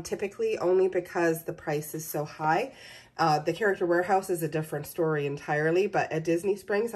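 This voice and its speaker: A woman's voice: lowish voice, darkish tone, a little hiss or hum. A woman recording a casual shopping haul vlog on a phone, enthusiastically showing items one by one and listing their prices. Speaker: personal shopper and pin seller. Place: indoors at a table of merchandise.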